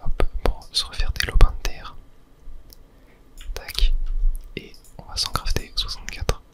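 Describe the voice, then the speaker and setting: A gaming host speaks entirely in a soft, breathy whisper for an ASMR let's play. The delivery is rambling and casual, with self-corrections and small clicky filler sounds as they think aloud. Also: a little slow.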